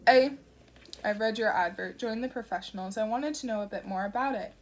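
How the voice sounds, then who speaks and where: A woman's voice: a little high, fairly quick, close to an everyday voice. A woman voicing a timid, awkward young man who speaks tentatively and stammers. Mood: nervous.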